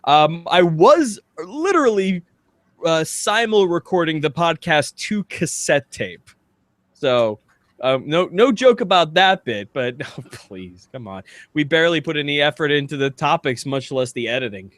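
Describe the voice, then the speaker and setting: A man's voice: a little high, sing-song, a little slow, a bit bright, no echo. A man hosting a casual comedy podcast, rambling jokily and reading comments aloud. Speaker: podcast host.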